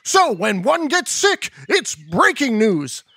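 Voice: medium pitch, big pitch swings, slow, pushed and bright, dry recording, exaggerated voice. A performer voicing a self-important male news reporter in a children's podcast sketch, who announces everything as breaking news in a dry studio recording. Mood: eager. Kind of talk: kids podcast comedy sketch.

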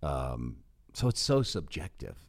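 An American man hosting a sports podcast in a casual, animated, conversational way. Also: rumbling low, measured pace. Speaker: podcast host, sports broadcaster. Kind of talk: casual podcast interview chat.

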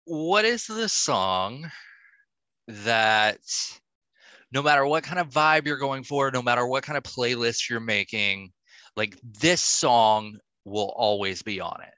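Bright-voiced man hosting, unhurried. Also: mid-range voice, no echo. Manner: encouraging, brief approving replies. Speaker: podcast host. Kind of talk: casual interview questions.

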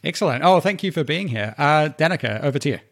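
A man's voice: on the high side, expressive, fast. A man hosting a podcast interview with local election candidates, moderating in an orderly, friendly way. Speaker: podcast host.

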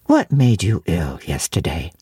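Period storybook gentleman actress doing the part: skeptical country apothecary, low, brisk, teasingly gruff, full of questions. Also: huge pitch swings, dry recording, full character voice. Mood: probing.

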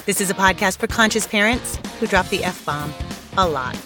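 A woman's voice: medium pitch, moderate pace, music in the background. A woman hosting a parenting podcast, chatty and frank, reading announcements and interviewing a guest. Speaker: podcast host.

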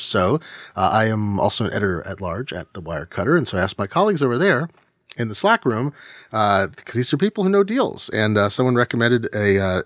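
A man in his forties co-hosting a podcast, chatting casually and animatedly, jumping high in pitch for jokes. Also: a bit bright, no room sound, clean studio sound. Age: 40s. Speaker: podcast co-host. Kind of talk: casual chat.

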